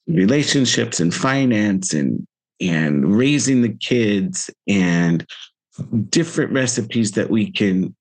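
A man in his fifties with an American accent, hosting a reflective solo podcast in a measured, earnest manner. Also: sits low. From Central Valley, United States. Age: 50s. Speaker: podcast host.

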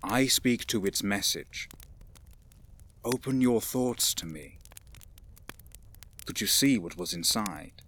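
A man playing a stern, cautious alien warrior in a science fiction audio drama, formal and deliberate in his speech. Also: on the low side, expressive, fairly bright tone, a little hiss or hum. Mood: earnest, tender.

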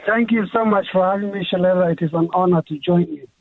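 A man's voice: high-pitched, fast talker, dry recording. A young man, an author guest speaking earnestly in a radio interview, often restarting his phrases. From Somalia. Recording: tinny phone line.

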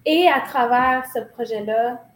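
Slow, hesitant Québécoise woman. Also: fairly high pitch. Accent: Québécois French. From Quebec. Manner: hesitant, many fillers and restarts. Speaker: guest, choreographer. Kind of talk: reflective interview talk. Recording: roomy recording, faint noise.

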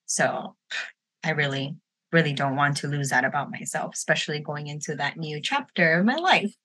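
A woman's voice: sits low, moderate pace, pushed and bright, slightly rough. A woman in her late twenties hosting a casual roundtable podcast, talking informally and candidly with a playful streak. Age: late 20s. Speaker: podcast host.

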